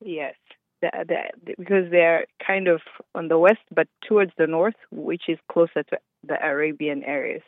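A woman's voice: low-pitched, fairly even intonation, normal speed, no room sound. A woman from Uganda calls in to a radio show and explains things patiently and earnestly, with light laughs. Her voice comes through a thin, tinny phone line. The sound is dry. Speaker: caller.

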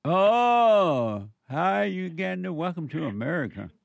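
Brash talk radio host, dry sound. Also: male voice, high voice, very animated, slow pace, creaky, no room sound. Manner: brash, joking, laughs often.

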